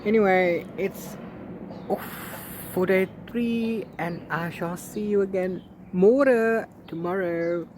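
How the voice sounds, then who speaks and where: A man talking casually as a book vlogger, rambling in a halting way with repeated words and restarts. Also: high-pitched. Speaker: book vlogger, read-along co-host. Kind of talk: casual rambling video diary about a book. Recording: clear background noise, ambient sound.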